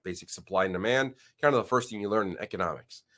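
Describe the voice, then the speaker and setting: Host, male, lowish voice, slightly nasal from a cold, loose rambling delivery. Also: pushed and bright. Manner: rambling, halting restarts. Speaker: channel host. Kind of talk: casual screen-share commentary.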